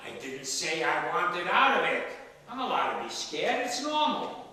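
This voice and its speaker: A man's voice: high-pitched, sing-song, measured pace, pushed and bright, full character voice. An actor playing a feisty, stubborn ninety-seven-year-old father who argues bluntly and emphatically in a stage play. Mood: pleading, defensive. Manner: emphatic, argumentative, repeats words for force. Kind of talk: one-act stage play dialogue. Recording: echoey hall from far off, faint noise.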